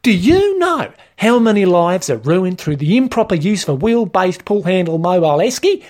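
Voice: mid-range voice, sing-song, moderate pace, darkish tone, voice pushed up, lightly acted. A narrator voicing a brash, bossy Australian man who talks in blunt, matey, sweary slang for a comic story. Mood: hectoring.

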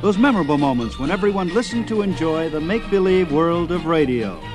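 Male radio host, slightly high voice, scripted nostalgic program narration over prominent music. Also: animated, a little fast. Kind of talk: scripted narration introducing old radio clips.

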